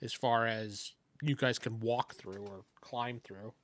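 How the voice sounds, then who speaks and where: A man's voice: medium pitch, steady tone, takes it slowly, a bit bright, dry recording, clean studio sound. A man running a tabletop role-playing game, narrating and bantering casually. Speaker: game master.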